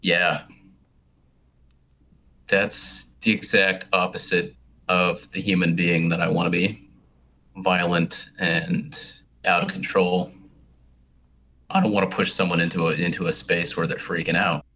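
A man's voice: low voice, little pitch movement, unhurried, long pauses, sharp tone. A man speaking on a phone call, answering questions reflectively and hesitantly, often restarting his sentences.

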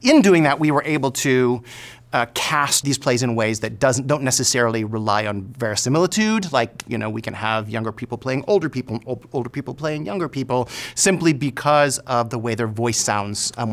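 A man's voice: mid-range voice, a bit bright. A man speaking reflectively in an interview as a theater director, thoughtful and hesitant.

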